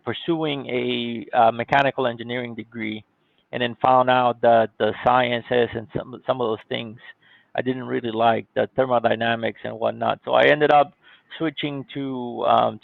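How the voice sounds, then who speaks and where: A thin, phone-like recording carries a man in a conversational interview. His voice is heavily creaky and gravelly, with very little room sound, and he explains things politely at a middling pitch. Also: little pitch movement, normal speed, dry recording. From born in Cuba, grew up in Miami, United States. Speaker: podcast guest, IT company CEO. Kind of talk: conversational interview, telling own story. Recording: thin, phone-like call audio.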